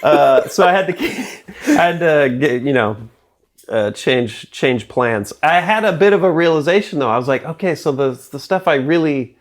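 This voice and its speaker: A man's voice: fairly high pitch, expressive, moderate pace. A man in his forties, a guest in a casual interview, talking in a rambling, self-deprecating way and laughing often. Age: 40s.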